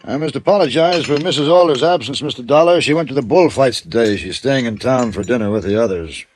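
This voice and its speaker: A man's voice: mid-range voice, a little fast, soft background music, lightly acted. An actor playing a middle-aged wealthy father, formal, commanding and condescending, in a vintage radio drama. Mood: courteous. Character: stern wealthy businessman father, courteous but snobbish. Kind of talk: 1950s radio drama acting.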